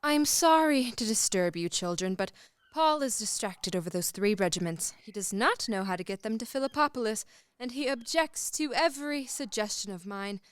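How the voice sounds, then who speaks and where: Woman playing a clear, smooth-voiced matriarch. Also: on the high side, expressive, moderate pace, no echo, natural voice. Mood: briskly apologetic. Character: middle-aged mother, managing mistress of the house. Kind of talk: radio play acting.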